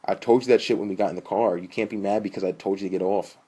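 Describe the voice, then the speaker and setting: Man as a deep-voiced, irritable young boyfriend. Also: steady tone, fast, mellow, close to an everyday voice. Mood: defensive, irritated. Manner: blunt, aggrieved, profane.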